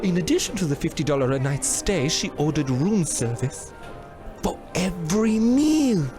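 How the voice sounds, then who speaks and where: A man acts a gossipy, fussy hotel clerk for a comic radio drama. The voice is high and swoops up and down, with music clearly underneath. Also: big pitch swings, moderate pace. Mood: exasperated.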